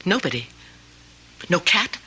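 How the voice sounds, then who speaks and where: An actress playing a frightened, confused lonely woman in an old-time radio drama, halting and pleading as she repeats herself. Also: on the low side, very animated, pushed and bright, breathy edge, heavily acted. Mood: shaken, confused. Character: frightened, confused, fretful lonely woman insisting on her story.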